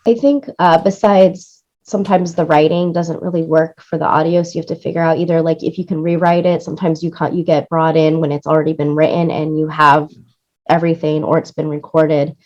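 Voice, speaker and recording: Low-pitched woman, dull lo-fi audio. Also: a little fast. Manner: animated explaining, acts out quoted lines, seeks agreement with "right?". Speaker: interview guest, music supervisor and audio producer. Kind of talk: casual conversational interview.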